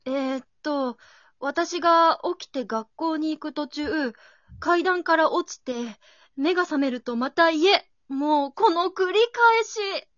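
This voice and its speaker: Woman acting bewildered high-pitched schoolgirl, bright and slow. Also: no room sound, dull audio. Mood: puzzled, subdued. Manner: exclamatory, thinking aloud to herself. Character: ordinary high school girl, anxious and bewildered. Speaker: amateur radio drama performer. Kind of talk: amateur radio drama acting.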